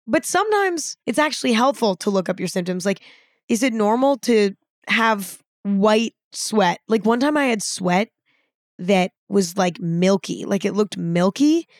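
A young woman in her twenties with a raspy voice, talking frankly and casually on her own podcast, with some swearing. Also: mid-range voice, no room sound, studio quality. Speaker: podcast host. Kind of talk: candid solo podcast talk.

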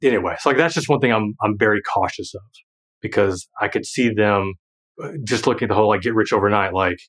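Rambling man with a low voice. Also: fast, fairly bright tone. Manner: rambling, lots of "like" and restarts, laughs often. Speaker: guest, former financial planner and creator. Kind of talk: casual podcast conversation.

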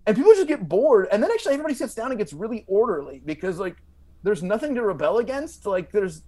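A man with a slightly high, very animated voice tells stories like a comedian guesting on a show. His delivery is halting, with repeated words and plenty of "like" as he builds the tale. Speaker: podcast guest, stand-up comedian. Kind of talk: animated comic storytelling.

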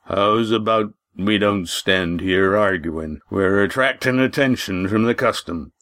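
A man doing a slow, drawling innkeeper. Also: lowish voice, a bit bright, dry recording, clean studio sound. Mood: wry. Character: short, wry rustic male innkeeper, middle-aged.

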